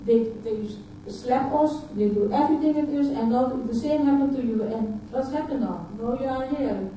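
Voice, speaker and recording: A woman from Suriname whose first language is Dutch speaks at a conference in a fairly high, mellow voice. She talks haltingly and often repeats her words. She sounds far from the mic in a very echoey hall. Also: slight background noise. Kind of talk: personal talk at a conference.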